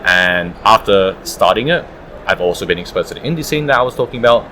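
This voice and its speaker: A man's voice: on the low side, expressive, fast talker, bright, forceful tone, faint music behind, rough recording. A man answering interview questions conversationally as an audiobook producer at a live event.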